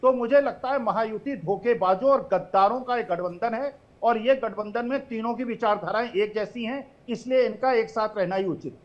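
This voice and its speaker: A man in his seventies making slow, emphatic accusations as a political panelist in a TV debate. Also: high-pitched, lo-fi sound. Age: 70s.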